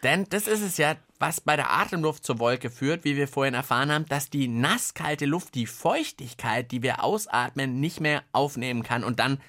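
A man's voice: mid-range voice, expressive, moderate pace, hardly any pauses, fairly bright tone. A man hosting a children's science podcast, chatting in a playful, mock-earnest way. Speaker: podcast host. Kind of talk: light-hearted children's science podcast chat.

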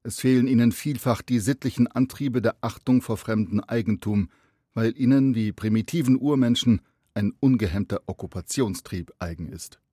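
A man's voice: mid-range voice, moderate pace, mellow, breathy edge, no echo, studio quality. A man reading an archival quote aloud in a stern, formal way. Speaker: quote reader.

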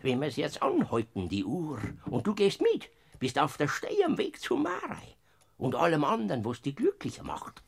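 Brief: gravelly, husky Bavarian Death, sly and coaxing, swinging pitch widely for a radio play. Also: male voice, wildly swooping pitch, full character voice. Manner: coaxing, scolding, drawn-out whispers. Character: wheedling sly old male Death figure. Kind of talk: classic radio play acting.

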